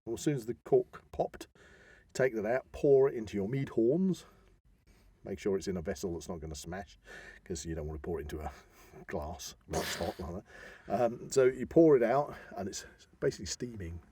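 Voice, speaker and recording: A middle-aged British man chats as a podcast co-host in a relaxed, husky voice. He explains things like a practised storyteller, offhand and practical. The sound is very dry, with little room around him. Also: fairly low pitch, normal speed, no echo. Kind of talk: casual podcast chat.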